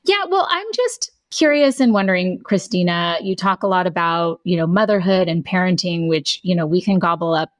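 A woman's voice: fairly low pitch, animated, normal speed, few pauses, no room sound, clean studio sound. A woman co-hosting a podcast, asking a long, curious question full of "like" and "you know". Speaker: podcast co-host. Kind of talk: interview questions.

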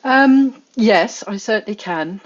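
English woman guest, musing and unhurried, muffled lo-fi recording. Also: medium pitch, expressive. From England. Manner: thoughtful, many fillers and restarts. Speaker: guest forager. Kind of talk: casual interview chat.

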